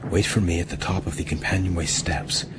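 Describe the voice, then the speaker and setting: An actor in a radio drama playing an earnest, courteous ship's mate, measured and purposeful. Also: male voice, low voice, steady tone, close to an everyday voice. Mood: urgent, resolute. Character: earnest, resolute ship's mate, educated man plotting escape. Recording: audio drama with clear ambient noise.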